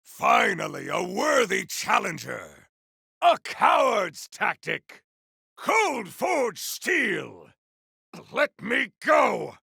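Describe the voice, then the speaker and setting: A bombastic video game warrior, pitched very high for a male voice and full of rasping grit. The delivery is grandiose and theatrical, swinging widely in pitch as battle cries ring out with grim relish. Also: huge pitch swings, extremely slow, pushed and bright, dry recording, raised voice, studio quality, heavily acted. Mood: battle fury. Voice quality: gravelly, rough-edged. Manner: grandiose, theatrical, formal uncontracted speech. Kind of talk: video game combat barks.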